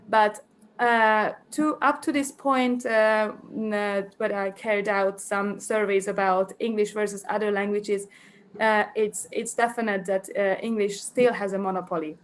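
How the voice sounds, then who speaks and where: A woman's voice: mid-range voice, steady tone, moderate pace. Hesitant Hungarian woman presenting a talk. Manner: hesitant, many fillers and restarts while thinking aloud. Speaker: conference presenter. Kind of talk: academic conference presentation.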